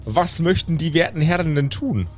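Higher-pitched male game master. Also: lively intonation, normal speed, faint music behind. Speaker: game master of a pen-and-paper podcast. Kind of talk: improvised tabletop game narration.

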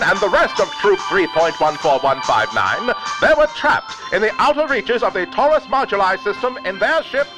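An actor playing a dramatic old-time radio serial announcer and pitchman in a 1940s style. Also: rough recording. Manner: dramatic, breathless salesmanship.

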